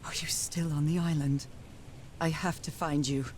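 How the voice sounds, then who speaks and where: An actress playing an ailing, determined woman explorer of the 1930s in a video game, musing to herself in halting questions. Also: sits low, fairly even intonation, darkish tone, a little hiss or hum, natural voice. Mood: shaken, searching. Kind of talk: dramatic video game monologue, thinking aloud.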